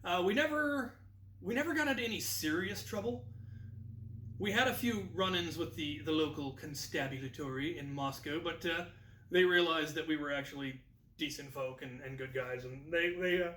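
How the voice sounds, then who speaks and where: American man, thirties, higher-pitched bright voice, animated casual storyteller, echoey room. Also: normal speed, slight background noise. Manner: animated, acts out quoted lines. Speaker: video host and storyteller. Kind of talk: casual anecdotal storytelling to camera. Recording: echoey room, distant microphone.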